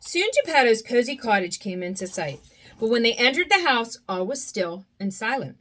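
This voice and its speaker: A young adult woman reading a children's storybook aloud with an expressive, animated delivery. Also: a little high, moderate pace.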